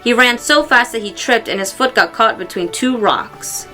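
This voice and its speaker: A woman reads a children's storybook aloud in an expressive way, acting out the exclamations and dialogue. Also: fairly high pitch, moderate pace, faint music behind. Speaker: storybook reader.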